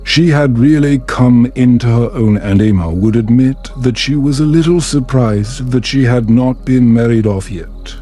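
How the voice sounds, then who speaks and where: A man reading a bedtime story as calm, scripted ASMR narration in a measured, soothing and gentle manner. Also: mid-range voice, faint music behind.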